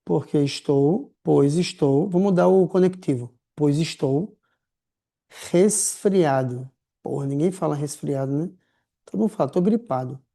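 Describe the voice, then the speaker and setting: A man teaching a writing class in a lively, slangy, chatty way, calling his students affectionate names. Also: on the high side, unhurried, gravelly. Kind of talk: casual recorded lecture.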